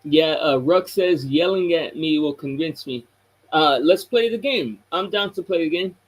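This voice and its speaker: A man with a fairly high voice hosts a relaxed livestream chat at a computer mic. He laughs often and pokes fun at himself, stumbling over fillers as he talks. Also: normal speed, low-quality recording. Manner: laughing, self-mocking, full of fillers.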